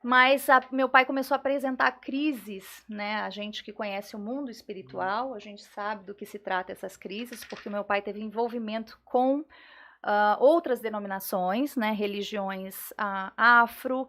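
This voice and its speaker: A woman with a Carioca Brazilian accent giving personal testimony as a podcast guest, often pausing and checking for agreement. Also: medium pitch, fairly even intonation, a bit bright. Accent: Carioca Brazilian Portuguese. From Rio de Janeiro, Brazil.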